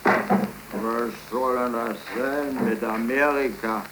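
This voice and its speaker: An actor playing a gruff, dryly amused gravedigger who speaks laconically, in a 1930s radio play. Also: male voice, medium pitch, fairly even intonation, slow, close to an everyday voice. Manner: laconic, dry. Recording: hissy, echoey, distant 1930s radio recording.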